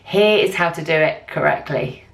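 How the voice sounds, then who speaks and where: A woman presenting a software tutorial, explaining each step in a clear, instructional way. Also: low-pitched, normal speed, rough recording. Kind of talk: screen-share tutorial narration, instructional.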